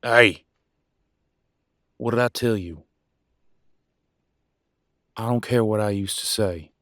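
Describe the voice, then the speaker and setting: A man playing a weary, embittered werewolf pack leader and husband in a dramatic audio roleplay, with a folksy, sarcastic, pausing delivery. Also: low voice, steady tone, no echo, clean studio sound. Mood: angry, firm. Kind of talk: dramatic one-sided relationship roleplay audio.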